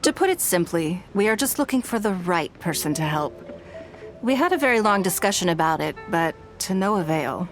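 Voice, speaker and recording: A woman voicing a poised, authoritative noblewoman in a video game, speaking in a formal, measured, composed manner. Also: fairly low pitch, very animated. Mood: composed, gracious. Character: poised, authoritative noblewoman leader employing secretaries. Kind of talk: scripted video game dialogue. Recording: background music behind voice.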